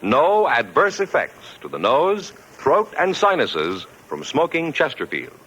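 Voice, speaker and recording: A man's voice: on the high side, huge pitch swings, measured pace, fairly bright tone, husky, highs cut off. A man with an American accent announcing formally and emphatically in 1950s radio style. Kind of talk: 1950s scripted radio narration and advertising.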